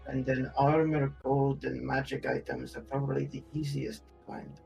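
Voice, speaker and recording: A man's voice: mid-range voice, flat delivery, moderate pace, vocal fry, over music, poor audio. A man playing in a tabletop roleplay game, talking casually in and out of character.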